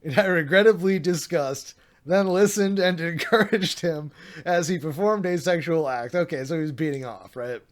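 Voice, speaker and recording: A man's voice: on the high side, moderate pace. A man streaming, reading a document aloud with sarcastic, mocking asides, his voice getting a little worn.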